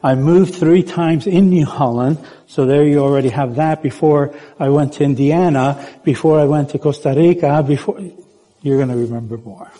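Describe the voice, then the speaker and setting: A guest preacher born in Puerto Rico talks to the congregation in a playful, conversational way, often stopping to ask them questions. His voice is slightly husky and mellow, sitting a bit high for a man. Long reverb from the church hall hangs behind him. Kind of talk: sermon.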